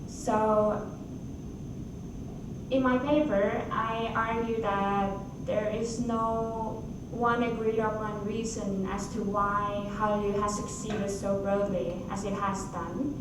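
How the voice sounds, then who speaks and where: A woman gives a formal academic presentation, measured and often hesitant. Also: medium pitch, little pitch movement. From Norway. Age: 20s. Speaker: academic conference presenter, graduate student. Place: university conference hall. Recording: echoey hall, distant mic, clear noise.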